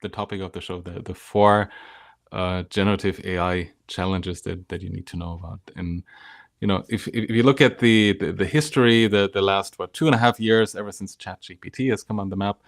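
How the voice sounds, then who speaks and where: A man with a fairly low voice hosts a live show over a video call, upbeat and energetic as he keeps things moving. His voice sounds close and dry, with no room around it. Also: brisk, no room sound. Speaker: host. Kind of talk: live show hosting, casual.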